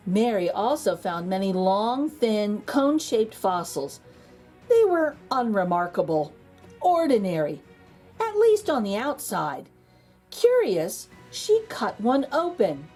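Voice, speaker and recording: A woman reading a children's picture book aloud as a storytime host, theatrically, with dramatic pauses and emphasis. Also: medium pitch, sing-song, darkish tone, soft background music.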